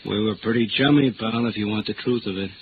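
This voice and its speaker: A man's voice: lowish voice, quick pace, natural voice. An actor playing a cocky, chummy salesman with breezy slang in an old radio drama. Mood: smug, amused. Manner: slangy, breezy, chuckling talk. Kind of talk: 1950s radio drama acting. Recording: tinny, noisy old radio recording.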